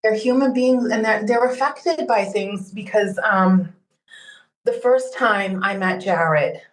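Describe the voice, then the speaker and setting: A woman's voice: medium pitch, takes it slowly, roomy sound, low-quality recording. A woman guest on a podcast, casually sharing her own experiences as a fan. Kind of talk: casual personal storytelling.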